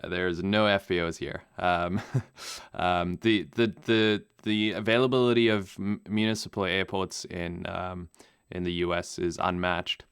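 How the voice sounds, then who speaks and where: Guy raised in namibia, german native, deep clear voice, lots of ums and restarts, dry mic. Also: a little slow, hardly any pauses, no room sound. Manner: relaxed, rambling with many fillers and restarts. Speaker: guest pilot. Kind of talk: casual interview answers.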